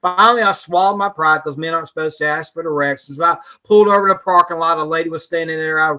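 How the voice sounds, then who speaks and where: A man's voice: a little high, fairly even intonation, quick pace, sharp tone, dull audio. A man from North Carolina with a Southern accent doing stand-up, telling stories with drawled punchlines. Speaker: stand-up comedian. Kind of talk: stand-up set on a video call.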